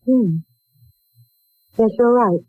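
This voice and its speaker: A woman's voice: a little high, very slow, husky, no room sound, heavily acted. An actress playing a polite, earnest young woman seeking office work, hesitant and deferential, in 1940s radio acting. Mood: puzzled, earnest. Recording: tinny, band-limited 1940s radio recording.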